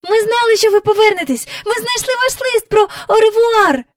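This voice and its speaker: Woman voicing a high-pitched, breathless excited young girl. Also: moderate pace, no echo, clean studio sound, recorded from a distance. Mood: joyful. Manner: gasping, exclaiming.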